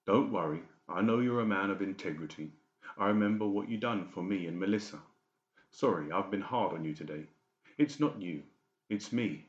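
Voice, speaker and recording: A man's voice: low-pitched, normal speed, reverberant, muffled recording, natural voice. A man playing a stern, commanding senior doctor in an audiobook drama. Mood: conciliatory. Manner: stern, authoritative.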